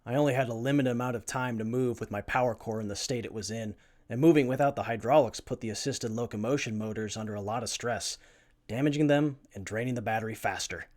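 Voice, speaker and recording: A man's voice: medium pitch, fast talker, darkish tone, lightly acted. A man playing an earnest, brainy young survivor who tinkers with armor, in a post-apocalyptic audio drama. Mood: weary, earnest.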